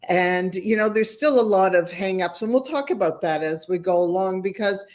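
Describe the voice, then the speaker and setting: A woman hosting a casual live-stream interview, talking warmly and effusively with many fillers and restarts. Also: medium pitch, moderate pace. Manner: warm, effusive, rambling with fillers. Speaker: host.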